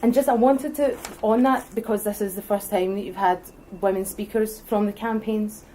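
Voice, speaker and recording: A young woman with a Scottish accent makes her case at a public debate, earnest and forceful as she builds her argument point by point. Her voice is warm and mellow, with a faint hiss of noise in the background. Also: medium pitch, fairly even intonation, normal speed, poor audio. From Scotland. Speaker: debate speaker for independence.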